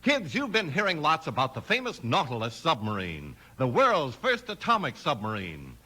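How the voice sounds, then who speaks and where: A man's voice: on the high side, huge pitch swings, moderate pace, pushed and bright. A man announcing and narrating a 1950s radio western from a script.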